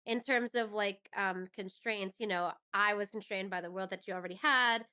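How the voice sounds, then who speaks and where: A woman's voice: mid-range voice, moderate pace, bright, forceful tone, clean tone. A woman speaking as an interview guest in a casual, enthusiastic and reflective conversational style. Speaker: interview guest, voice actress and performer.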